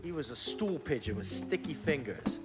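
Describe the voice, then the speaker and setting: A man's voice: medium pitch, expressive, moderate pace, loud music behind the voice, natural voice. A man playing a slick, smug, dangerous gangster in 1940s radio noir style. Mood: dismissive.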